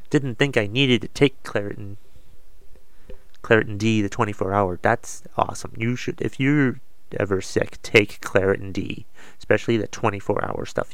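A man's voice: lowish voice, no room sound. A man with a cold, slightly congested, hosting his own podcast in a rambling, self-deprecating, casual way. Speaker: podcast host. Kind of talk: casual solo podcast monologue.

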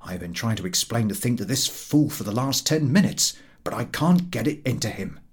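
A man voicing an angry, voluble British traveller in an audiobook, explaining rapidly and with exasperation. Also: mid-range voice, lively intonation, slightly rough. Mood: exasperated, indignant.

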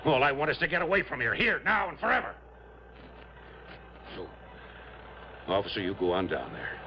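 A man's voice: mid-range voice, huge pitch swings, moderate pace, gravelly. An actor playing a middle-aged miner mourning his partner in an old radio drama, emotional and folksy, repeating himself. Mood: desperate. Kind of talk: 1950s-60s radio drama acting. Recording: muffled, noisy vintage radio recording.

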